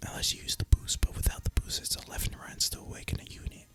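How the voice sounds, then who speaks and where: A person speaking in a breathy, unvoiced ASMR whisper, musing casually and informally as gaming commentary. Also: fast talker, soft background music. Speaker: host of an ASMR gaming channel.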